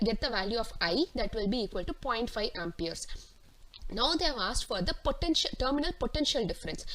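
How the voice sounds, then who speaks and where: A woman's voice: mid-range voice, fairly bright tone. A woman teacher explaining worked exam solutions step by step, reading out questions and then walking through them. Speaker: physics teacher. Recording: clearly noisy recording with clicks.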